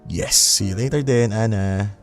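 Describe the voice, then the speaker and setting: Man as low-voiced, earnest Filipino boyfriend. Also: measured pace, music in the background, lightly acted. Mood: smitten, confident. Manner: earnest, casual, mixes English and Tagalog. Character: young man, earnest, attentive, smitten boyfriend. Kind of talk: Filipino romance audio drama acting.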